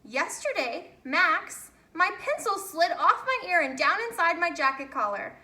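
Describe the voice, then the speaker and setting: A woman voicing an excitable, envious, self-doubting male bear in a children's storybook, exclaiming in a fretful, eager way. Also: very high-pitched, measured pace, pitched above the performer's own voice, heavily acted. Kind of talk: children's storybook character reading. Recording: roomy, echoey home recording.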